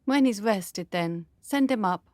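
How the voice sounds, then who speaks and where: A woman's voice: medium pitch, lively intonation, measured pace, darkish tone, no room sound, clean studio sound, natural voice. An actress playing a headstrong young noblewoman in a radio drama, with earnest, dramatic delivery. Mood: weak, determined.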